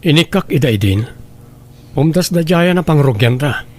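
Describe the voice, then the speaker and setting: A proud, unbending father played by a male actor in an Ilocano radio drama. His voice sits a little high for a man, with an Ilocano accent and a firm, dismissive way of talking. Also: expressive, moderate pace, a little mellow, natural voice. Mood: defensive, firm.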